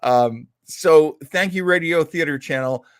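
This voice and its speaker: A man hosting casually, rambling like an animated storyteller with wry asides as he introduces vintage radio shows. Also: fairly high pitch, unhurried, smooth, dry recording, studio quality. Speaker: host of an old-time radio show program.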